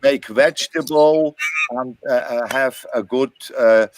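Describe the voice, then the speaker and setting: Halting man. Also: medium pitch, a little hiss or hum. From Switzerland. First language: German. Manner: halting, simple English. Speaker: filmmaker. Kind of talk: panel Q&A answer.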